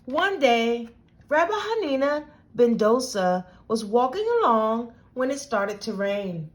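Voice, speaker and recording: A woman reading a picture book aloud to children as host, with deliberate, dramatic phrasing. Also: on the high side, animated.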